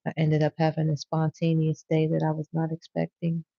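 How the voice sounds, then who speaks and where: A woman's voice: sits low, monotone, brisk, vocal fry, dry recording, highs cut off. A woman hosting a spiritual tarot livestream, chatting casually and slangily straight to her viewers. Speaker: tarot reader and livestream host.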